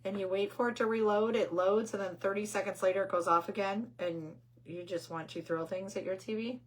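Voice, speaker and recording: An older woman hosting a craft livestream, chatty and rambling, reading viewer comments aloud while painting; her voice is hoarse and squeaky from losing it. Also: fairly even intonation, a little fast, poor audio.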